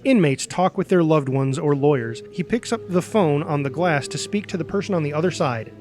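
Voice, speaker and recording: A man's voice: on the high side, animated, a little fast, darkish tone, faint music behind. A man narrating a screenplay, reading out scene headings and action as a scripted narrator. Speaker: narrator reading stage directions.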